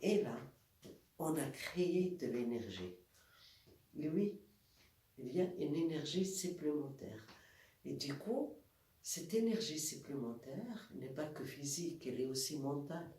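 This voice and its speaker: Slow-speaking woman with a cold. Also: a bass voice. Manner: slow, calm, demonstrating movements step by step. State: has a cold. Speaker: wellness instructor. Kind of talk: guided exercise instruction. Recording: echoey room, voice from far off, faint noise.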